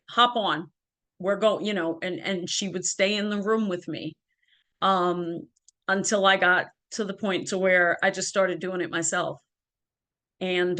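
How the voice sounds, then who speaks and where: A woman's voice: fairly low pitch, a bit bright. A woman guest telling her personal story in an interview, earnest and full of ums and restarts, on a video call from home. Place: home, by a ring light.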